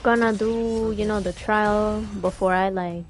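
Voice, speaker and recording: A woman streamer talks at a slow, unhurried pace. She rambles casually in slang, often halting mid-thought, while game music plays faintly behind her. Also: medium pitch, fairly even intonation. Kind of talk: casual gaming stream chat.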